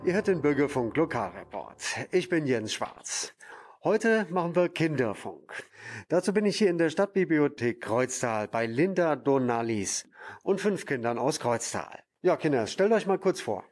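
Local radio reporter, man, husky and animated, presenting and interviewing. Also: a little high, normal speed.

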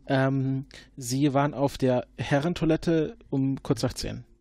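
A man playing a formal agent interrogating a witness in an improvised audio drama, halting and full of fillers. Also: medium pitch, fairly even intonation, darkish tone, dry recording, studio quality. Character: formal male time-travel agent questioning a witness.

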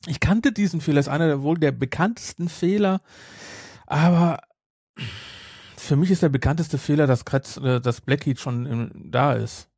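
A man's voice: a little high, normal speed, gravelly, a little mellow, husky, no room sound, clean studio sound. A man chatting casually as a podcast co-host, rambling and repeating his phrases. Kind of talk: casual chat.

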